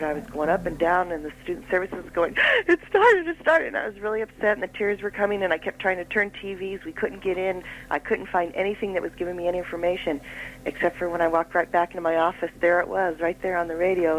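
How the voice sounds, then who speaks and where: A woman calling in to a radio show by telephone, reminiscing in an expressive, talkative way. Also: on the low side, fast talker, slight background noise, poor audio. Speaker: phone-in caller. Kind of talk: casual reminiscing. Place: on a phone line to a radio studio.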